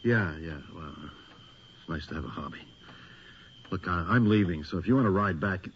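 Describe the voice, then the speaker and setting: An actor playing a middle-aged, world-weary, skeptical police detective in a radio horror drama, with a dry, sardonic delivery. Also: male voice, sits low, moderate pace, close to an everyday voice. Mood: exasperated. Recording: dull, noisy old radio recording.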